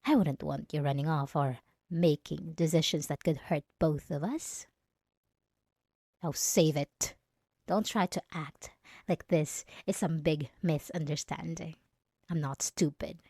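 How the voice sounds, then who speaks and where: A woman's voice: low voice, a little slow, no room sound, studio quality. A woman playing a jealous, possessive young female bully in an intimate ASMR roleplay, menacing and taunting as she blackmails her crush. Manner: menacing, taunting, swinging between soft and agitated.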